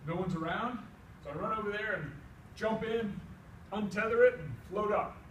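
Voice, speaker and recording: A middle-aged American man with a fairly high voice tells a comic story in an animated, folksy manner. He plays out each side of the conversations as he goes. The sound is echoey and a little distant, as if recorded across a room. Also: normal speed. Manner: animated, folksy delivery acting out both sides of dialogue. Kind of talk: live comic tall-tale storytelling. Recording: echoey room, distant mic, faint noise.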